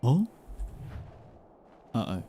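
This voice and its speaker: A man doing excited live game commentary as a streamer, exclamatory, repeating himself and swearing casually. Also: very slow. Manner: exclamatory, repeats words and phrases.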